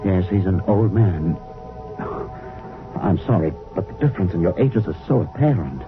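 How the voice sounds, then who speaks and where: An actor playing a commanding but humane spaceship captain in a 1950s science fiction radio drama. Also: male voice, deep voice, expressive, moderate pace, music in the background. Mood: reflective. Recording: tinny old radio broadcast.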